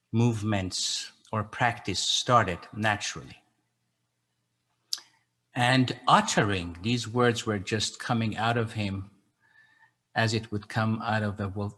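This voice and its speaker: An older man, a native Persian speaker, giving an informal explanatory talk as an educator in a halting, reflective way. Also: lowish voice, fairly bright tone. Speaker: educator and translator leading a poetry gathering.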